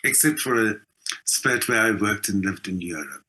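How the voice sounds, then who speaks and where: Bright-voiced South African man, emphatic. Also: on the low side, expressive, moderate pace. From South Africa, Johannesburg. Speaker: interview guest, actor and theatre designer. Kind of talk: conversational personal storytelling in an interview. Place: video call from home country, indoors. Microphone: video call.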